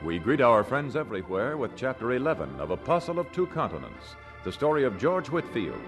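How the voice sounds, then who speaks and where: A man's voice: mid-range voice, sing-song, a little fast. A man announcing a radio programme in a formal, scripted introduction. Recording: music behind the voice.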